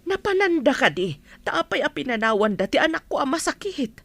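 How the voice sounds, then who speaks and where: A woman's voice: high voice, sing-song, brisk, fairly bright tone, full character voice. A woman playing an anxious, protective middle-aged mother in an emotional radio drama, fretting over her child. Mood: worried. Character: anxious, protective mother of a sick grown daughter. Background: music.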